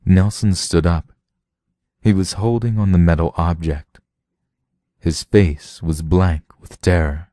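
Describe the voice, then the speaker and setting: A man narrates a science fiction story in a low, dark voice. He reads slowly and deliberately, and the sound is close and dry. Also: fairly even intonation, dry recording. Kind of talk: scripted narration, audiobook reading of a sci-fi story.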